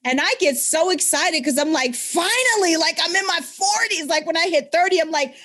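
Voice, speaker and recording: A woman's voice: extremely high pitch, animated, normal speed, a bit bright. A woman in her forties hosting a podcast, talking with enthusiastic, affirming energy. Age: 40s. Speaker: podcast host. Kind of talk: casual conversation.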